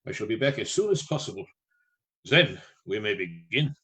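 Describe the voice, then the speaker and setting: A man's voice: on the low side, sing-song, moderate pace, a bit bright. A man acting in a radio play as an older professor, a learned foreign doctor who speaks formally and gives grave, urgent orders. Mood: grave, commanding. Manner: imperative, formal, solemn phrasing.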